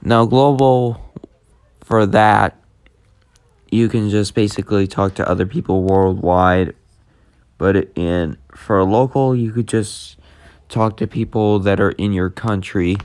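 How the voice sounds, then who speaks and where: A man giving a casual, unscripted app tutorial, speaking plainly and haltingly as he hosts the demonstration. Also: lowish voice, steady tone, a little mellow, smooth, no room sound. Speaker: YouTube host demonstrating an app.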